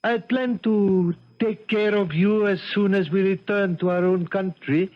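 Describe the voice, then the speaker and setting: Slow, high-voiced lordly prince. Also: male voice, fairly even intonation. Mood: coldly formal. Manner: formal, deliberate, imperious. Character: calm, lordly foreign prince, coldly formal. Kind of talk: 1970s radio drama acting. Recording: muffled old radio recording.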